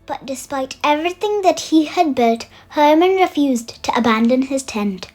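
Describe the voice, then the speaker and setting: A storyteller reading a children's bedtime picture book aloud in an expressive way, made for young listeners. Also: high-pitched, normal speed. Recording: music under the voice. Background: music.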